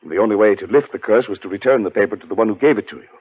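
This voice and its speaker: A man acting in a 1940s radio drama as a haunted, educated gentleman, telling his story in a formal, deliberate, dramatic way. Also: on the low side, breathy edge, dry recording. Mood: uneasy, explaining. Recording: muffled 1940s radio recording, music behind.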